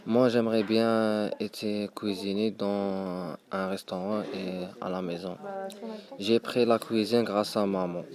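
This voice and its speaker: A young man with an Algerian accent talks about himself in halting second-language French. His voice is fairly low and very monotone, with long hesitations. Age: young adult. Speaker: high school student. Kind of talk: personal account.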